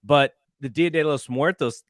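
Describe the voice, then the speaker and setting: A man's voice: on the high side, normal speed, dry recording, studio quality. A middle-aged man co-hosting a sports podcast, talking in a casual conversational way. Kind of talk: casual chat.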